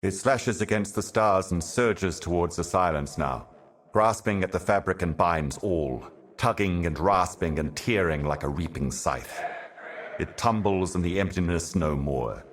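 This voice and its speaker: An ancient otherworldly herald of doom with an extremely low male voice. The delivery is solemn and measured, like formal oratory. It is a theatrical fantasy video game monologue, cryptic and portentous. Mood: ominous awe.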